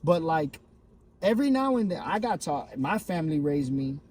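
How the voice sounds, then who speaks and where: Animated swearing young man from Miami. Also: a little high, normal speed, slight background noise. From Miami, Florida. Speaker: comedian guest. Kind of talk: casual podcast chat.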